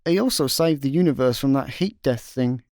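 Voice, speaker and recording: An actor playing a dim, laid-back intergalactic slacker on the run in a comedic sci-fi radio play, deadpan, with a Scottish accent. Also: male voice, mid-range voice, normal speed, no echo, studio quality, lightly acted. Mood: bemused.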